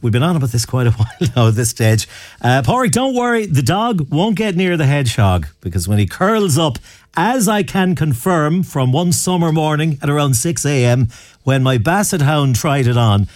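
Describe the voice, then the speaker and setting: A man's voice: fairly high pitch, very animated, moderate pace, a little mellow, no echo. A man with an Irish accent presenting a chatty local radio show.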